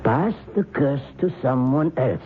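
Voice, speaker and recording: An actor playing a coolly knowing, sinister professor in an old-time radio drama, measured and slyly amused. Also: male voice, medium pitch, lively intonation, lightly acted. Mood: cool, ominous. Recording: tinny, faintly noisy old radio broadcast.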